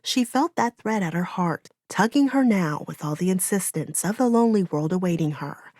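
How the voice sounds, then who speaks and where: A woman's voice: fairly low pitch, animated, moderate pace, a little mellow, no echo, studio quality. A woman reading a fantasy audiobook as narrator, in dramatic, solemn storytelling.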